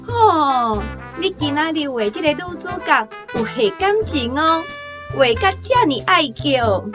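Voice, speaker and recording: A woman's voice: high-pitched, very animated, a little slow, music in the background. A Taiwanese woman playing a brazen, teasing landlady in her fifties or sixties in a radio drama. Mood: teasing, flirtatious. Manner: teasing, coquettish.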